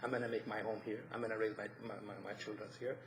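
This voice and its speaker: A man from Ethiopia with an Ethiopian accent, giving an earnest, halting personal statement at a public meeting. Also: on the low side, level tone. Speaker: resident applying for committee. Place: indoor council meeting room. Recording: echoey meeting room, faint noise.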